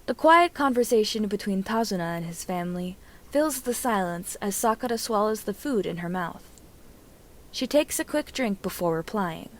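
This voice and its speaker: A woman narrating fiction from a script as an amateur audiobook reader, with an expressive storytelling delivery. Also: medium pitch, moderate pace.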